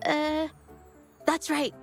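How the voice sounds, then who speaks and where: High-voiced, shy young woman painter. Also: monotone, very slow, faint music behind, exaggerated voice. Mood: awkward, apologetic. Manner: hesitant, stammering, self-effacing. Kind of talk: video game character dialogue.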